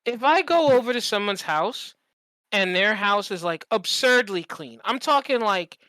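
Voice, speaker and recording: A podcast co-host chatting casually and comedically, acting out examples and swearing freely in an animated storytelling manner. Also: mid-range voice, measured pace, no room sound, rough recording.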